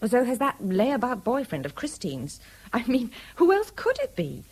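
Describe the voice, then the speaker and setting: An actress playing a sharp-minded, protective, indignant woman with a British accent, insistent and probing, in a radio drama. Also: fairly high pitch, wildly swooping pitch, normal speed, slightly rough, exaggerated voice.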